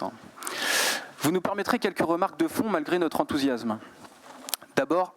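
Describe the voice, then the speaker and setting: A man from Brittany, France, speaking as a regional councillor in a formal, measured, articulate political speech, partly read. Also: fairly high pitch.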